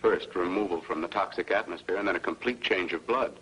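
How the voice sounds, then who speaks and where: An actor playing a grave, guarded doctor with a formal, clinical manner, in 1950s B-movie style. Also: male voice, low-pitched, fairly quick, fairly bright tone, poor audio. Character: grave, guarded male doctor and blood researcher. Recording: old film audio with faint hum.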